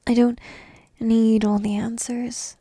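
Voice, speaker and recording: A woman's voice: fairly high pitch, level tone, slow, warm tone, no room sound. A woman playing a petite, entitled but devoted dragon princess in a whispered, coy and intimate fantasy ASMR roleplay. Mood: fond, playful. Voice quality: breathy, whispery. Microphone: close microphone, dry.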